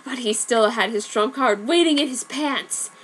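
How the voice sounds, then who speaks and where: A woman's voice: a little high, normal speed, fairly bright tone, breathy edge, rough recording. A woman giving a comic dramatic reading of fan fiction, with wry offhand asides. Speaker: reader. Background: faint background music.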